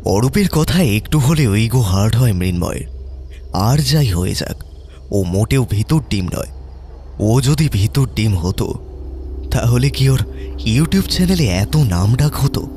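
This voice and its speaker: A man narrating a scripted horror story in a slow, measured way. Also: on the low side, darkish tone. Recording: narration over background music.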